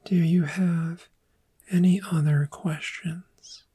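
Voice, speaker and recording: A woman playing a gentle, patient dragon therapist in a soft-spoken ASMR roleplay, with a breathy, whispery, measured and reassuring delivery. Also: lowish voice, steady tone, heavily acted. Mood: soothing, encouraging.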